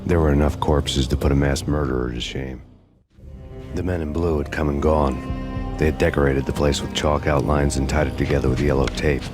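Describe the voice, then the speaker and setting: An actor playing a grim, hard-boiled cop who narrates in a deadpan, world-weary voice-over over game music. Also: male voice, rumbling low, normal speed. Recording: game soundtrack music behind.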